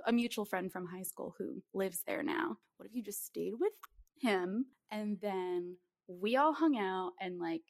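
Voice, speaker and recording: A woman's voice: medium pitch, gravelly, no echo. Playful woman podcast co-host, dry close sound. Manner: playful, mock-dramatic. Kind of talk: casual podcast chat.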